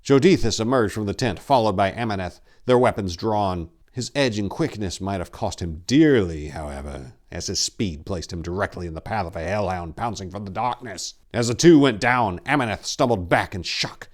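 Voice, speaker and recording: A man narrating in the style of a dramatic story reading, with a theatrical, measured delivery. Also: lowish voice, expressive.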